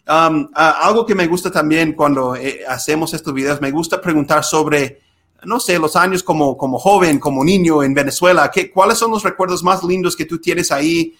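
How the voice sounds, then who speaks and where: A man's voice: fairly high pitch, moderate pace, fairly bright tone. A young man who grew up in the United States, hosting a podcast in a casual, friendly way, with many fillers. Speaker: podcast host. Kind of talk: casual interview.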